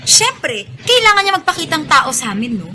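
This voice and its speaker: A woman's voice: sits high, sing-song, normal speed, sharp tone, slight background noise, exaggerated voice. A woman playing a haughty, spiteful teenage girl villain in a Filipino radio drama. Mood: sneering, scornful.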